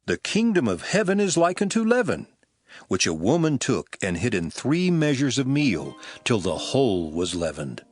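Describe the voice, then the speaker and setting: A man playing a solemn, authoritative holy teacher in a dramatized audio Bible, telling parables in a measured, emphatic manner. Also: mid-range voice, wildly swooping pitch, husky. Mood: solemn, impassioned. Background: music.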